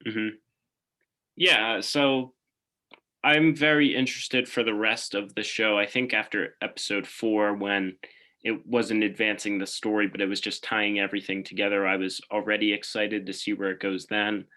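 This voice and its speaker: A man with a low voice and a bright, forceful edge, co-hosting a podcast. He talks casually and thinks out loud, hedging as he goes. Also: flat delivery, normal speed. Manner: thinks aloud and hedges often. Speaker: podcast co-host. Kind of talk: casual chat.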